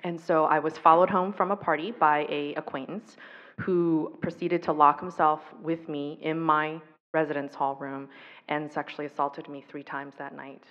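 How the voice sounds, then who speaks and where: Woman keynote speaker, raised on the US East Coast with Taiwanese as her first language; earnest and reflective, fairly low voice, dull hall recording. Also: flat delivery. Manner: reflective, earnest, with pauses and fillers. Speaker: speaker on violence prevention. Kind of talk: personal keynote talk.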